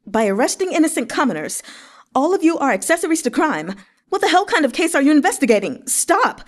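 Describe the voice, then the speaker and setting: Woman playing a high, furious, righteous official. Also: big pitch swings, brisk. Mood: enraged. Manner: forceful, accusing, declamatory. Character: indignant, righteous male government official, defiant and furious.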